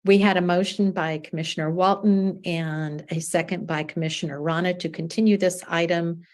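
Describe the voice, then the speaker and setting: A woman's voice: fairly low pitch, fairly even intonation, normal speed, darkish tone. A woman chairing a city planning commission meeting, reading agenda items formally and calling for motions.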